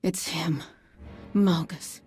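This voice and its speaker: Hushed, slow, foreboding woman. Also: on the low side, slight background noise. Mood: fearful, foreboding.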